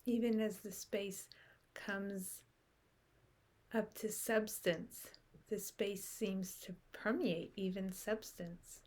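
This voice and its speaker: A woman guiding a meditation in a soft, unhurried way with long pauses, her breathy voice often trailing off into a whisper. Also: medium pitch, steady tone. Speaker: meditation guide. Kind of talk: guided meditation.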